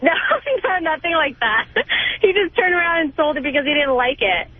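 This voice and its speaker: A woman's voice: high-pitched, expressive, moderate pace, no room sound. A woman calling a radio show, telling her story casually with emphatic, repeated phrasing. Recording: tinny phone line.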